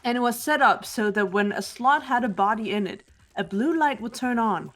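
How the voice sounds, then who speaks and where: A woman voicing a cool, calm, sharp-witted young woman in a fan dub of a video game, speaking in a measured way. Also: a little high, faint music behind.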